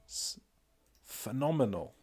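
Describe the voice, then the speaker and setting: A man's voice: mid-range voice, expressive, takes it slowly, a little mellow, breathy edge, soft background music. A man with a Polish accent, a native Polish speaker, streaming casually and rambling with many fillers and self-deprecating remarks. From Poland. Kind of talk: casual live-stream commentary.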